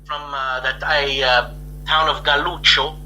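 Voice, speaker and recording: Man on a bright call line. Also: medium pitch, little pitch movement, a little slow, slight background noise, poor audio. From Quebec, Canada. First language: Italian. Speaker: guest, composer and wargaming channel host. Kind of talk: casual interview over a call. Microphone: call audio.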